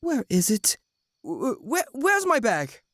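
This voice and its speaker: Young page, voiced by a woman: lively pitch, fairly high, protective and urgent, fantasy drama, dry sound. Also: a little slow, no echo, full character voice. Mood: distressed. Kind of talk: fantasy audio drama acting.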